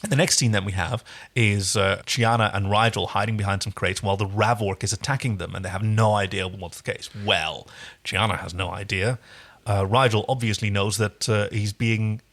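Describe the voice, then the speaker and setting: A man's voice: on the low side, fairly even intonation, normal speed, vocal fry, fairly bright tone. A man co-hosting a casual episode recap, effusive and rambling, repeating words as he goes. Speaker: podcast co-host.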